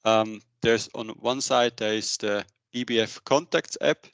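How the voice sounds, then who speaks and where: A man in his thirties giving a semi-scripted business webinar presentation into a headset, with a halting delivery. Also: mid-range voice, gravelly, a bit bright, clean tone, dry recording. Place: indoor room, on a video call.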